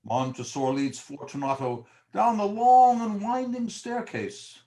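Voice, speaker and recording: A man's voice: high-pitched, measured pace, mellow. A man narrating a period tale as a scripted dramatic storyteller.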